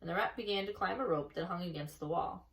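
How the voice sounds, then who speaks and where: A woman reading a children's story aloud in an expressive narrating style. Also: on the low side, fast. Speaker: story reader at an elementary school. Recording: echoey room, distant mic.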